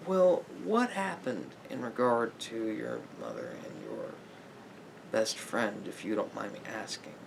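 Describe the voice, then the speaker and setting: A man playing a sympathetic therapist in an ASMR roleplay, giving gentle advice in a halting, repetitive, hedging way. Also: lowish voice, big pitch swings, gravelly, background noise. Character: calm, sympathetic male therapist counselling a client.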